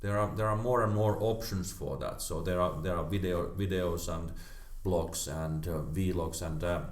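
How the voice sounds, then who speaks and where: A man's voice: low-pitched, steady tone, moderate pace. A middle-aged man from Finland chatting casually, stammering and repeating words as he thinks aloud. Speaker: karate teacher. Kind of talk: casual chat. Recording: echoey room.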